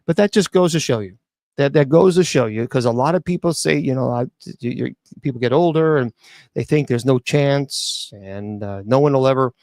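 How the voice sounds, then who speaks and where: Male host, mid pitch, reads headlines then riffs chattily; dry, close sound. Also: normal speed, darkish tone, dry recording. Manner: chatty, reads news aloud then riffs with many restarts. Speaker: host reading news stories. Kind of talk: casual commentary on news stories.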